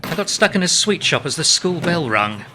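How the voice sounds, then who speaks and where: An actor playing an earnest, poetic English playwright and former army captain of the 1920s in a radio play, speaking in a lyrical, reflective, articulate way. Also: male voice, fairly high pitch, big pitch swings, moderate pace, noisy background. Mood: wry.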